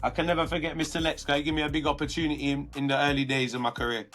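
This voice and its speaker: A man with a London accent, a guest artist talking earnestly through his career in a relaxed interview. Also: a little high, very fast, faint music behind. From London, England. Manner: earnest, reflective, many restarts.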